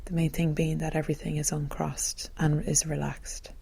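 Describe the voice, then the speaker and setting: A woman meditation teacher speaks in a low, mellow voice with a husky, breathy edge. She guides the listener gently and calmly, in soothing phrases meant for relaxation. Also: moderate pace.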